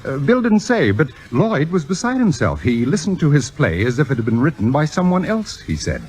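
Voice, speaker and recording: An actor playing an urbane, smug theater critic with a pompous, droll, precise delivery in 1950s radio drama style. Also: male voice, on the high side, wildly swooping pitch, fairly quick, darkish tone. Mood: smug, lofty. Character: urbane, waspish male theater critic, smugly needling.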